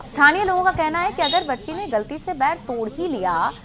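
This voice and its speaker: A woman news anchor reading a bulletin of regional news in a brisk, formal headline style. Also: sits high, animated, pushed and bright, slight background noise. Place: television studio.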